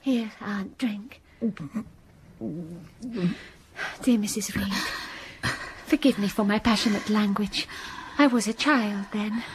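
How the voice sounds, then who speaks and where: An actress playing an earnest young governess who also narrates a radio drama, soft and restrained. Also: female voice, mid-range voice, a little slow, a little hiss or hum, lightly acted. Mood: tender. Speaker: narrator and heroine. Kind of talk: radio drama acting and first-person narration.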